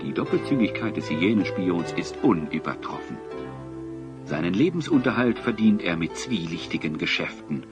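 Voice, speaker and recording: A deep-voiced fantasy narrator with music behind him. He tells the tale with suspense and wide, theatrical rises and falls. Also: sing-song, moderate pace, lo-fi sound. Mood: suspenseful. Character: male storyteller narrator of a fantasy adventure. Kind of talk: scripted dramatic narration.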